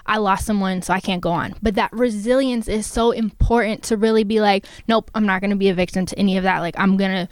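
A woman's voice: medium pitch, fairly even intonation, fast, hardly any pauses, no room sound. Upbeat woman podcast host. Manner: upbeat, enthusiastic interviewer. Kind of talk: casual interview.

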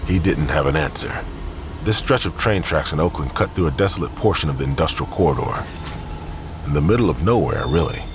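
A man narrating a crime audio drama in a measured, grim way. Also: very deep voice, expressive, music in the background.

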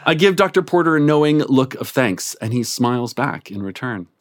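A man narrating a comic story before a live audience, playful and amused beneath a straight delivery. His pitch is lively and wide-ranging. He lets the absurd lines land without hurry. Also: moderate pace. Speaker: story reader. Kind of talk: live comic reading of erotic fiction.

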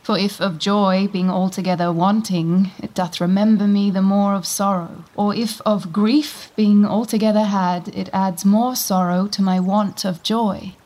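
A woman's voice: mid-range voice, steady tone, unhurried, hardly any pauses, lightly acted, poor audio. A woman playing a grieving queen. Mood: sorrowful. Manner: sorrowful, gently chiding. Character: grieving young queen in a garden, melancholy. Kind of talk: Shakespeare radio play acting.

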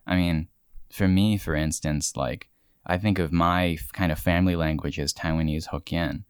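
Man, low deep voice, dry recording, reflective, asks questions. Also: fairly even intonation, moderate pace, smooth, no echo. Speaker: conversation leader. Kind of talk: casual group conversation.

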